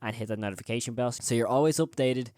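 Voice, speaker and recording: A man's voice: mid-range voice, quick pace, darkish tone, no room sound, clean studio sound. A man presenting a scripted video essay as the host of a horror review channel.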